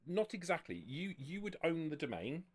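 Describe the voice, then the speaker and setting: A man speaking as game master, explaining the rules of a tabletop roleplaying game in a measured way. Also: a little high, sing-song, darkish tone, husky.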